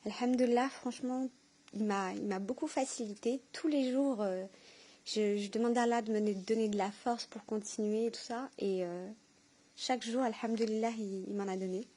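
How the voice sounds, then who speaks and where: A woman giving a spontaneous, heartfelt testimony, speaking haltingly and earnestly as she searches for her words. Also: a little high, fairly even intonation, mellow. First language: French. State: emotional, tearful with joy. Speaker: person giving a personal testimony.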